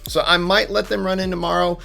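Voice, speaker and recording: Man, a bit hoarse, fairly high voice, chatty and emphatic. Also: flat delivery, fast talker, sharp tone, soft background music. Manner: chatty, emphatic, repeats words for stress. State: slightly losing his voice. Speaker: stock trading channel host. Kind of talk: casual market commentary to camera.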